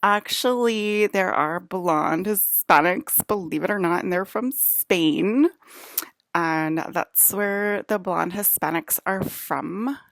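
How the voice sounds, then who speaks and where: Gravelly, slow-talking woman from New Jersey. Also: lowish voice, a bit bright, no room sound, clean studio sound. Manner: chatty, self-interrupting, with whispered asides. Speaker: vlogger. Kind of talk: rambling casual monologue.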